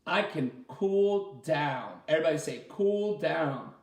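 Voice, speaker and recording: A man leading a children's story time as a teacher, reading aloud and asking the listeners simple questions in an animated way. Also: on the high side, takes it slowly. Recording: roomy, echoey sound.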